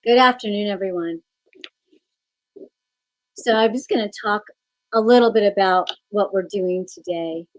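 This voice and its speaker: A woman teaching yoga nidra, giving guided relaxation instructions in unhurried phrases with pauses. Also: medium pitch, low-quality recording.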